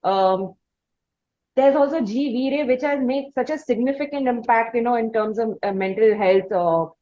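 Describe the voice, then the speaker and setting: Higher-voiced Indian woman interviewer, dull audio. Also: normal speed, dark tone. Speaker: interviewer, podcast host. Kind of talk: casual conversational interview.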